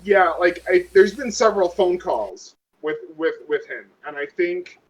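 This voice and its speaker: A man's voice: high voice, expressive, measured pace, slightly rough. A man casually talking through a tabletop game out of character as a player, thinking aloud in a hesitant way. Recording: dull lo-fi audio.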